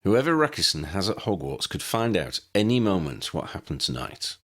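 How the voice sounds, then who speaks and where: A man voicing a determined male wizard who leads his team, firm and brisk, in an audiobook. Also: low voice, sing-song, a bit bright, exaggerated voice. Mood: urgent.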